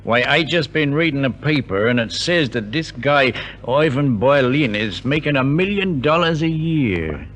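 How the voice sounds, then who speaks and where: Chatty buddy with swooping delivery. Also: male voice, mid-range voice, expressive, measured pace, hardly any pauses, a bit bright. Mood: wishful, marvelling. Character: chatty, wishful young American buddy. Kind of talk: 1950s radio drama acting. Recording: old 1950s radio recording.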